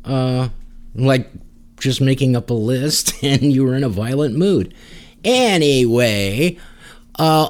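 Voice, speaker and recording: A man's voice: medium pitch, darkish tone. Casual, rambling male podcast host. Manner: joking, rambling. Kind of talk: casual music podcast chat.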